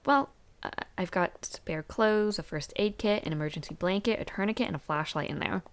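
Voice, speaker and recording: A woman's voice: mid-range voice, a little fast, clean tone, lightly acted. A young woman playing a chatty, over-prepared university student in a roleplay audio drama, talking casually and a little hesitantly. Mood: sheepish, playful. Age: young adult.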